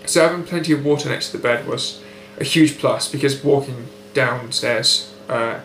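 A young British man with a blocked, nasal voice, speaking in an articulate, measured way for a recovery video diary. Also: medium pitch, a bit bright. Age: young adult. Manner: articulate, precise, unhurried. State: nasal, blocked nose after surgery. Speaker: patient keeping a recovery diary. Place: indoor room at home by a window. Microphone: built-in webcam mic, close framing. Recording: echoey room with faint hum.

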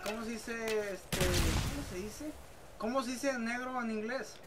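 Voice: medium pitch, fairly even intonation, measured pace. A gamer with a Mexican accent chatting casually over game voice chat, slangy and full of hesitant questions. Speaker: online gamer, video maker. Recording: noisy game audio with gunfire. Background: video game gunfire.

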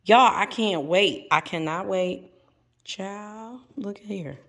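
A middle-aged woman, chatting casually to viewers in a folksy, playful, expressive way. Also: mid-range voice, slow pace, no room sound. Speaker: lifestyle vlogger.

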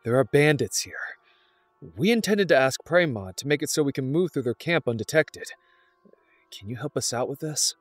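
A man playing a wry, excitable part-elf adventurer in a fantasy audio drama, with a casual manner. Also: medium pitch, normal speed, no echo, soft background music, close to an everyday voice. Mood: hopeful, pleading.

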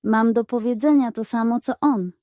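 A woman's voice: a little high, moderate pace, warm tone, dry recording, close to an everyday voice. A woman voicing a proud, dignified animal tribe chief in a children's audio story, speaking earnestly. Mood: earnest, impassioned.

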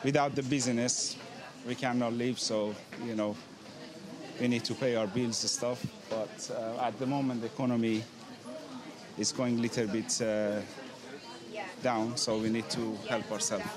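Crowd chatter outdoors, man, medium pitch, Iranian accent, halting phrasing, chef being interviewed. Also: little pitch movement. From Iran. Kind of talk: informal interview answers. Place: open tent at an outdoor street food festival. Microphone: handheld microphone held out by interviewer. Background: crowd noise.

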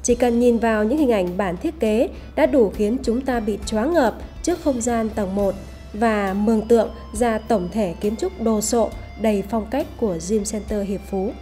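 High, mellow female promo narrator. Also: moderate pace. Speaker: voice-over narrator for a gym promotional video. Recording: voice over background music. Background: music.

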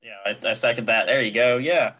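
A man's voice: mid-range voice, steady tone, a little fast, pushed and bright. A man on a board, wearing a headset on a video call and speaking in a casual, rambling way. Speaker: board member.

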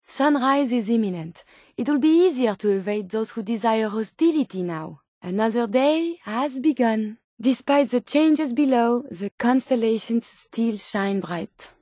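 An actress voicing an earnest, naive robot scientist turned human in a video game, with a French accent and formal, precise phrasing. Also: female voice, on the high side, a little mellow, no room sound, studio quality. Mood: helpful, matter-of-fact. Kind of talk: scripted video game character dialogue.

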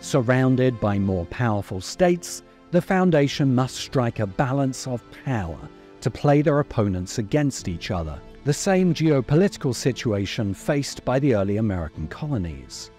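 A middle-aged man delivering scripted commentary as a video essayist, with measured, emphatic phrasing. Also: medium pitch, very animated, a little mellow. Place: open-sided covered outdoor space. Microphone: desk microphone close in front. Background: music.

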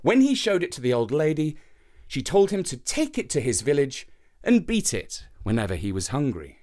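A man narrating a scripted children's story in a theatrical, expressive way. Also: on the high side, normal speed.

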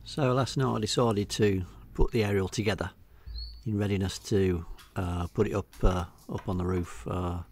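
A middle-aged man with an English accent giving a casual, unscripted voice-over walkthrough, hesitating and pausing often. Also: deep voice, steady tone, a little mellow, slight background noise. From England. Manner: hesitant, unscripted delivery with many pauses. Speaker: hobbyist video narrator.

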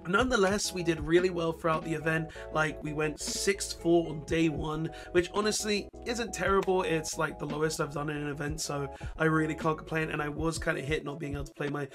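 A young man in his twenties, hoarse with his voice mostly gone, chatting casually to camera from his bedroom. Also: fairly high pitch, fairly even intonation, fairly quick, hardly any pauses, music in the background. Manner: chatty, laughing, talks straight to camera. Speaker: vlogger. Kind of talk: casual vlog chat. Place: bedroom at home. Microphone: webcam or desk camera, facing camera.

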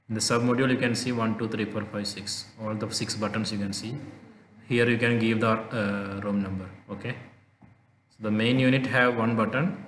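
A man giving an unscripted step-by-step technical tutorial, speaking in halting, repetitive instructional phrases. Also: lowish voice. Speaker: tutorial presenter. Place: indoor room, reverberant, faint noise behind. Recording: echoey reverberant room, background noise.

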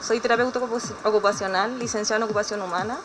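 A woman's voice: medium pitch, steady tone, racing, noisy background. A woman in her twenties with a Chilean accent introducing herself as a pageant contestant through a handheld mic. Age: 20s. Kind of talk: self-introduction to an audience. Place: outdoors in a company garden. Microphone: handheld microphone through face mask.

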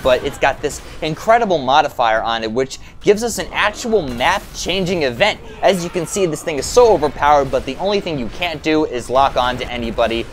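A man's voice: medium pitch, a little hiss or hum. A man hosting a gaming video, giving enthusiastic, informal and hyped commentary as he goes through a game overview.

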